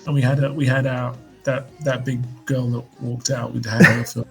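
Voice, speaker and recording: A man in his fifties, a musician guest, reflecting on his life in relaxed, hesitant interview talk. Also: mid-range voice, normal speed, vocal fry, soft background music. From United Kingdom. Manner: reflective storyteller, hesitant restarts. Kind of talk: casual interview chat.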